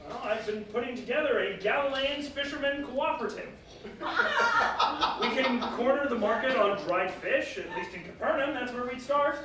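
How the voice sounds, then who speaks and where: A man playing an ambitious, excitable fisherman disciple on stage, with an emphatic delivery that can turn halting. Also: extremely high pitch, crowd noise. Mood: scheming, boastful. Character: Simon, ambitious boastful fisherman turned flustered fearful disciple. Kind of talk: staged reading, theatrical stage acting. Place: live staged reading in a reverberant room. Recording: echoey hall from far off, faint noise.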